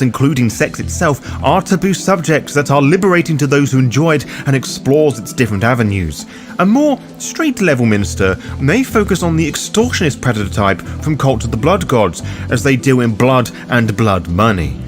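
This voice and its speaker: A man hosting a scripted video guide, speaking in a theatrical, deliberate way. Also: medium pitch, sing-song, over music. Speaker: host of a roleplay guide.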